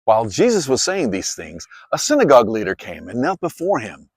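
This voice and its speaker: A man narrates scripture like a dramatic story. His pitch is mid-range and moves widely, with clear phrasing. The sound is dry, with hardly any room. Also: wildly swooping pitch, a little fast, no echo. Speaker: Bible narrator.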